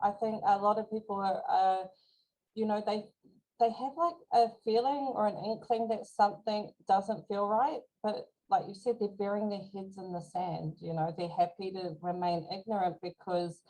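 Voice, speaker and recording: A woman co-hosting a podcast, talking in a relaxed, supportive way with frequent "you know". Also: medium pitch, normal speed, rough recording. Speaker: podcast co-host. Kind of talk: casual chat.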